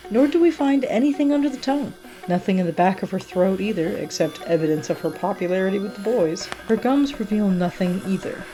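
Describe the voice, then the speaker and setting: A woman's voice: mid-range voice, mellow, low-quality recording. A woman giving a cold, menacing, clinical first-person narration of a horror story. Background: music.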